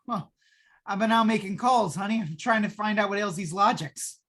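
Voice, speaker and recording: A woman's voice: mid-range voice, moderate pace, fairly bright tone, lightly acted. A woman playing a flustered, henpecked working husband who stammers nervously, in old-time radio comedy style. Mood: harried, pleading. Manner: stammering, hesitant.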